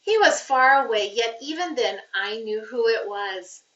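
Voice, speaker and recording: Female read-aloud narrator for children's fiction, expressive and crisp-edged bright tone, recorded a little distant and dull. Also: on the high side, a little slow. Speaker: teacher reading aloud. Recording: roomy, muffled, distant microphone.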